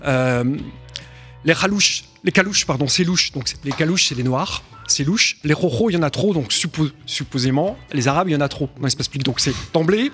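A man's voice: fairly high pitch, animated, brisk, a bit bright, faint music behind. A man who is an author, a guest on a radio interview, explaining at length and often stumbling over repeated words.

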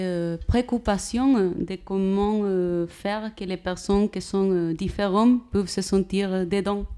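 Femme non native, ton chaud, réponses hésitantes en interview. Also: female voice, mid-range voice, mellow, smooth. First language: not French. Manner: halting learner's French, many hesitations. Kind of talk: radio interview answer.

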